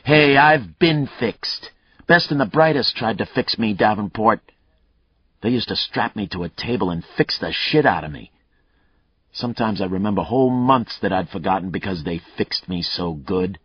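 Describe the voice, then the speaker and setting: A man's voice: medium pitch, sing-song, unhurried, long pauses, husky, no echo, muffled recording, voice pushed up. A male narrator voicing a taunting, unhinged fugitive killer in an audiobook, with a crude, swaggering manner. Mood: growling.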